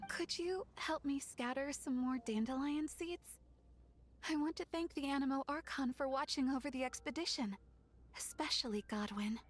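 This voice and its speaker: Woman playing a sweet, high-voiced young maiden. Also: normal speed, close to an everyday voice. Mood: gentle. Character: sweet devout young woman waiting for her beloved. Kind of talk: animated video game acting.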